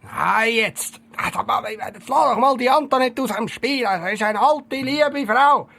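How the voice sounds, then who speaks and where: A man playing a boastful, excitable and jumpy police detective with a raspy, creaky voice and a Swiss German accent in a comic audio play. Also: extremely high pitch, measured pace, heavily acted. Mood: eager, bossy.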